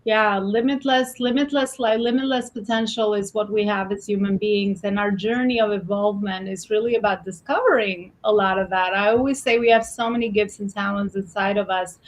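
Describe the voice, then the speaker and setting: A woman's voice: mid-range voice, fairly even intonation, brisk, hardly any pauses. A woman hosting a conversational panel interview, talking emphatically about business and often repeating phrases for effect. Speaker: host of a panel interview show.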